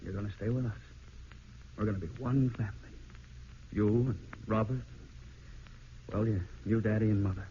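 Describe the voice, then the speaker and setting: An actor playing an earnest, tender husband and adoptive father in an old radio drama, sincere and halting. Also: on the low side, steady tone, dark tone, natural voice. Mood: warm, elated. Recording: muffled, noisy old radio recording.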